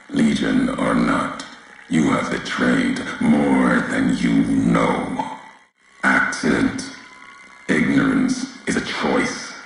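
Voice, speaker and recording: An extremely deep, heavily raspy game character, a brooding and vengeful wasteland courier. He speaks slowly in solemn, weighty, ominous phrases. The sound is close and dry. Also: dry recording, full character voice. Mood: bitter, forceful. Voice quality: gravelly, raspy bass. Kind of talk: video game character dialogue.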